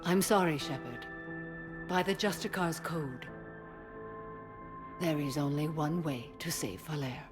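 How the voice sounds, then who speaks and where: A low, solemn alien justicar. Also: female voice, music in the background. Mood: grave. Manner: solemn, measured, formal. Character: stern ageless alien warrior-nun mother. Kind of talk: video game cutscene acting. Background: orchestral score.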